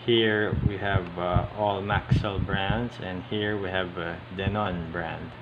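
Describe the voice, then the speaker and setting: A man giving a casual, unscripted show-and-tell commentary, speaking haltingly with frequent pauses mid-sentence. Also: low-pitched, phone-quality sound. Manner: halting, hesitant, pausing often mid-sentence. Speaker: hobbyist video host. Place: indoors at a tabletop. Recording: steady loud air-conditioning hiss, handling clicks. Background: loud background noise, clicks.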